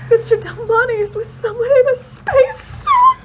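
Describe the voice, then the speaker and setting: A woman's voice: very high voice, sing-song, a little slow, fairly bright tone, a little hiss or hum, full character voice. An actress playing an anxious, cautious young woman in an audio drama, fretful and emphatic. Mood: uneasy. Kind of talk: full-cast audio drama acting.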